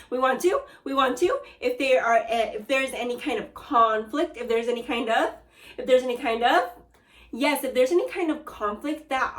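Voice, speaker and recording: Animated woman teacher reading aloud. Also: sits high, reverberant. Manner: call-and-response prompts, animated. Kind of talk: read-aloud lesson for children.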